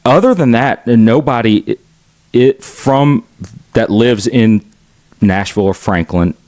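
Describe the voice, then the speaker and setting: A man's voice: medium pitch, slow, darkish tone, dry recording. A middle-aged man with a Southern US accent, telling stories in an outgoing, chatty way as a podcast guest. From United States. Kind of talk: casual conversational storytelling.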